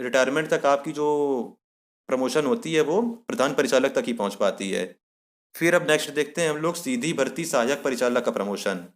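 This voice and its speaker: Man, mid-range voice, tutorial presenter, didactic, explanatory, repeats points, question-and-answer delivery. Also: mid-range voice. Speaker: presenter of an exam-guidance lesson. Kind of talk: explanatory tutorial addressed to viewers.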